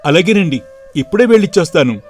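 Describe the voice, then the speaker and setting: Man playing an earnest, higher-voiced young shop clerk. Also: lively intonation, quick pace, faint music behind. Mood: polite, earnest. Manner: polite and deferential. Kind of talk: dramatized moral story for video.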